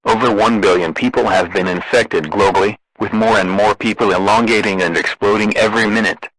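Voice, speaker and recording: Low-voiced man reading emergency alerts. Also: fairly even intonation, fairly quick, a bit bright, slightly rough, no echo, rough recording. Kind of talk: scripted emergency broadcast narration.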